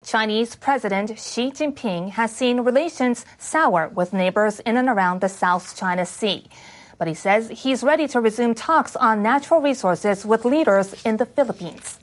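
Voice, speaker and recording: A woman's voice: on the high side, normal speed. A woman reading scripted international news for radio as a newsreader. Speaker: news reader.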